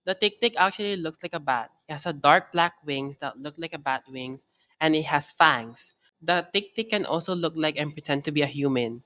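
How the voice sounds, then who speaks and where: A woman's voice: low voice, expressive, moderate pace, pushed and bright, voice pushed down. A woman voicing a teasing old Filipino grandmother who tells stories soothingly, in a read-aloud children's story. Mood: spooky storytelling.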